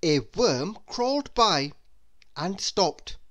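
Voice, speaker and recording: A man reading a children's story aloud as narrator, in an expressive, deliberate way. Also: sits high. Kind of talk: scripted narration for young children.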